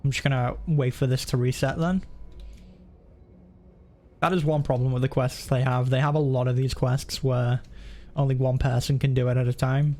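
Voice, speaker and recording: A man's voice: mid-range voice, steady tone, moderate pace, warm tone. Casual man streaming a video game. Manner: informal, thinking aloud. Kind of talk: casual live gameplay commentary.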